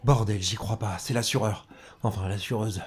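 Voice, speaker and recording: Man playing husky, gruff, caring cop. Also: fairly low pitch, steady tone, normal speed. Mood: stunned, vengeful. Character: gruff, caring male cop, blunt and slangy.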